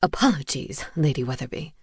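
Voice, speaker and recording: A woman voicing a mild-mannered male magic professor who speaks in a gentle, earnest way. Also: rumbling low, lively intonation, brisk, husky, no room sound, clean studio sound, voice pushed down, exaggerated voice.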